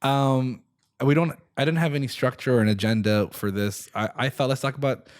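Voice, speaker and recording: A man's voice: mid-range voice, brisk, no room sound. Young man, American accent, dry close sound. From Middle East. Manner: relaxed, laughs often, trails off mid-sentence. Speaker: podcast host. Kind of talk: casual chat.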